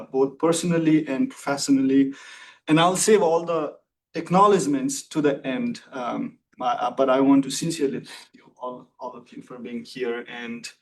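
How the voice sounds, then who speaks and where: A young man in his twenties from Nepal, giving a formal thesis defense talk in an earnest, measured way with many fillers. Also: medium pitch, darkish tone, far from the mic, poor audio. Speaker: PhD candidate presenting thesis defense. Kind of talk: formal academic presentation.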